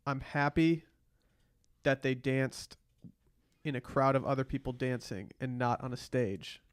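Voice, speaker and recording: A man's voice: mid-range voice, a little slow, clean tone, no room sound, clean studio sound. An American man co-hosting a casual recap chat, giving his opinions bluntly. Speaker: podcast co-host.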